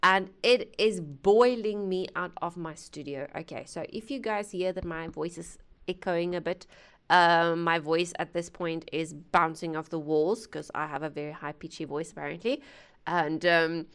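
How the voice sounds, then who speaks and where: A woman's voice: on the low side, moderate pace, smooth. A woman hosting a music reaction channel, chatting casually to viewers from her home studio through a gaming headset, rambling with lots of fillers and asides and laughing at herself.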